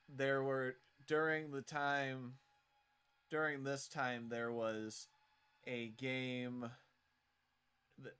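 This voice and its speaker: Man, smooth clear voice, slow pace, casual streamer chat, halting rambling delivery. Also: mid-range voice, fairly bright tone. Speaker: streamer showing own visual novel.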